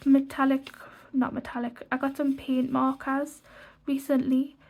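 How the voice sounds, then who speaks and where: A woman's voice: sits high, completely flat delivery, measured pace, gravelly, a little mellow. Stuffy-nosed young woman chatting. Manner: rambling, many "like" fillers and restarts. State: stuffy from a cold. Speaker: colouring channel host. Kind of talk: casual sketchbook flip-through chat.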